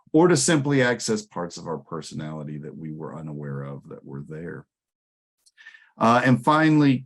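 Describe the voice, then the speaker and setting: A man presenting academic ideas in a measured, scholarly way, explaining concepts with small asides and check-in questions. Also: fairly low pitch. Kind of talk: conference presentation, explanatory lecture.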